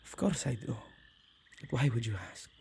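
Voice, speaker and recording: A man playing an earnest, tender male witch who protects ghosts, speaking softly and intimately in an ASMR roleplay. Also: medium pitch, expressive, normal speed, vocal fry, slight background noise. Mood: tender, calm. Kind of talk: ASMR boyfriend roleplay acting.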